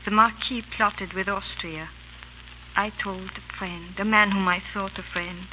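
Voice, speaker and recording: An actress playing a proud, impassioned young wife of an English lord in 1930s radio drama, pleading and declaiming. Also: female voice, medium pitch, fairly even intonation, measured pace, close to an everyday voice. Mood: remorseful. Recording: dull, hissy, crackling 1930s radio recording.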